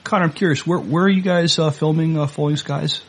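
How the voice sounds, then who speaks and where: Brisk, gravelly male podcast host. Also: fairly high pitch, fairly even intonation. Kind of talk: podcast introduction and interview.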